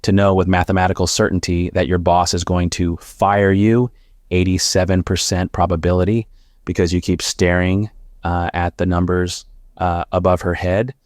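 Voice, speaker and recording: An actor playing a sardonic, haunted former risk analyst in an audio drama, narrating in a measured, wry, confessional way. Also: male voice, low-pitched, level tone, a little mellow, no echo, studio quality, lightly acted. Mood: weary, bitter. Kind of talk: first-person dramatic audio fiction narration.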